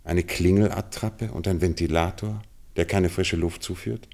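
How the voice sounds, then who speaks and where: A low-voiced, shrewd detective. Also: male voice, steady tone, darkish tone. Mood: keen, intrigued. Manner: brisk, incisive, authoritative, precise. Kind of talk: German radio play acting.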